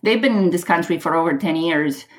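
A woman with a Romanian accent, a realtor guest talking casually on a podcast, often pausing with ums and restarting. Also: on the low side. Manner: hesitant, many ums and restarts.

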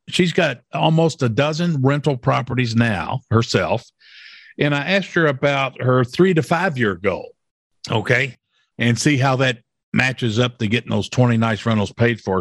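A man's voice: mid-range voice, no echo, studio quality. A man hosting an interview who talks emphatically, repeats his points and often checks in with "okay?". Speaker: interview host. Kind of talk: promotional interview.

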